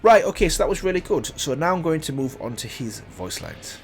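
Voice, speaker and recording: A man's voice: fairly high pitch, big pitch swings, fairly quick, faint music behind. A man giving casual, enthusiastic reaction commentary on a video game character, often saying "obviously.".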